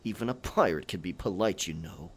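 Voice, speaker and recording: A man playing a theatrical, sentimental pirate captain in a scripted audio roleplay, with a gravelly voice, archaic pirate talk and a halting, stammering dramatic delivery. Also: low voice, animated. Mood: wistful, defensive.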